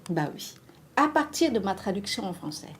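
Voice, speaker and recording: A woman's voice: mid-range voice, normal speed, crowd noise. A woman from Vietnam, a literary translator talking animatedly in an interview, restarting her phrases often. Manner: animated, many restarts and fillers. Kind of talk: conversational interview discussion.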